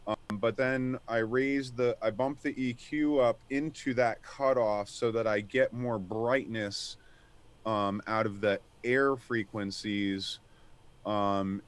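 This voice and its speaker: A man with a deep voice speaking as a guest on a casual panel, pausing and restarting as he searches for his words. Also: clean tone.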